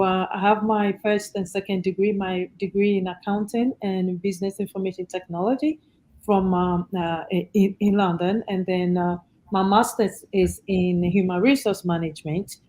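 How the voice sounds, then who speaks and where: Female panelist, Ghanaian accent, thoughtful halting delivery, conversational. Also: mid-range voice, fairly even intonation, warm tone. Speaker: panelist, entrepreneur. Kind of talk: conversational self-introduction at an online panel.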